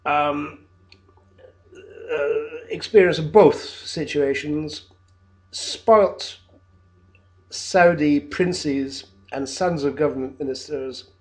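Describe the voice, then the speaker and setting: A man in his fifties, a teacher talking to camera from his flat in a hesitant video job application, with a loud voice and frequent ums and uhs. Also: a little high. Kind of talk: unscripted video application monologue. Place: his own flat, indoors.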